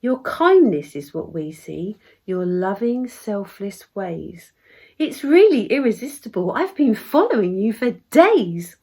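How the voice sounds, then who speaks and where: A woman's voice: mid-range voice, very animated, unhurried, mellow, husky, full character voice. A woman voicing a radiant, kindly little fairy who gushes and exclaims, in a storybook read in verse. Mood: tender, admiring. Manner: gushing, exclaiming.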